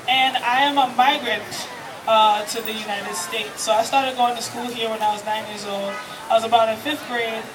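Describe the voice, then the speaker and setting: A woman from Trinidad and Tobago giving a conversational, explanatory talk to a live festival audience. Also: a little high. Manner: conversational and explanatory, addresses the crowd. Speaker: festival presenter. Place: outdoor festival stage area. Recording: distant mic with noticeable background noise.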